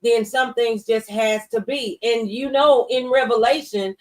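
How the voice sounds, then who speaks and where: A woman's voice: fairly high pitch, fairly even intonation, a little slow, distant mic. A mature woman in a faith-based conversation, speaking earnestly and a little like a preacher, repeating phrases for emphasis. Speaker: talk show guest.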